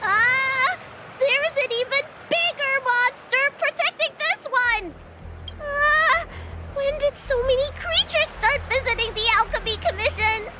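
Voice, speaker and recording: A woman voicing a small, excitable young girl healer in a video game, with a youthful, expressive and bossy yet earnest delivery. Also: very high-pitched, unhurried, poor audio. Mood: alarmed, indignant. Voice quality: youthful, girlish. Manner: expressive, exclaiming, dramatic. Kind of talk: video game character acting. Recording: game audio with background music.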